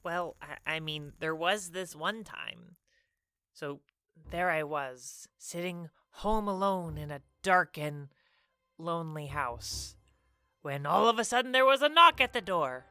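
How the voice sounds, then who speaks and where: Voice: mid-range voice, expressive, takes it slowly, pushed and bright, clean tone, dry recording. An actor playing a timid, bumbling male camp counselor in a light-hearted audio drama, stammering and hesitant. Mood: uneasy storytelling.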